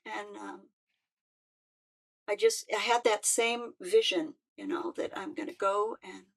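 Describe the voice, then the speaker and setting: An older woman, a musician speaking in a halting, heartfelt way with frequent restarts. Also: mid-range voice, fairly even intonation, creaky, poor audio. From United States. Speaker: musician and peace activist. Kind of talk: personal reflective talk.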